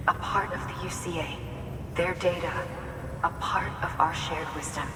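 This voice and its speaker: An actress plays a solemn woman leader with measured, hopeful delivery. Her lowish voice rings in a distant hall over music, like a game cutscene. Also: lightly acted. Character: solemn, composed female leader rallying a courier. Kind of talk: video game cutscene acting. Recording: reverberant, distant, music behind.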